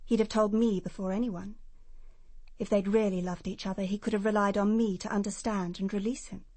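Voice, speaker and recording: An English actress playing a prim, self-assured nurse giving precise testimony in a British radio crime drama. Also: female voice, mid-range voice, fairly even intonation, a little fast, mellow, no echo, lightly acted. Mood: composed, defensive.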